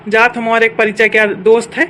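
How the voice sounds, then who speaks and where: A woman plays a naive young cartoon husband who dotes on his bride. The voice is fairly high with an Awadhi accent, over faint background noise. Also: monotone, a little fast, sharp tone. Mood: doting, eager. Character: doting, naive newly married young village husband. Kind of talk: Awadhi comedy cartoon dialogue.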